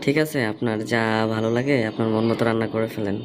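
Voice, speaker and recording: A man's voice: on the low side, fairly even intonation, fast talker. A man in a casual phone conversation, asking probing questions and explaining himself at length. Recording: phone call recording with faint noise.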